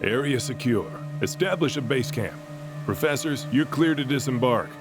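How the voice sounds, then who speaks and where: An actor playing a commanding, no-nonsense military commander in an audio drama, curt and authoritative. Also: male voice, medium pitch, lively intonation, normal speed, lightly acted. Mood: brisk, commanding. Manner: curt, authoritative, barking orders. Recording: music behind the voice.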